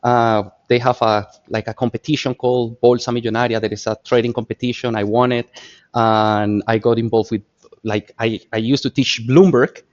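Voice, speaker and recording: A man in his thirties from Colombia with a Colombian Spanish accent, a podcast guest telling stories in lively, repetitive phrasing. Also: mid-range voice, moderate pace, lo-fi sound. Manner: animated storyteller, repeats words while searching for phrasing. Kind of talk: casual interview talk.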